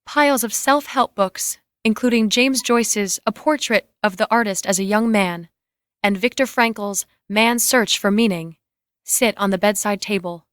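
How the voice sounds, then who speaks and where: A woman's voice: mid-range voice, fairly bright tone, dry recording, clean studio sound. A woman narrating scripted screenplay scene directions in a matter-of-fact way.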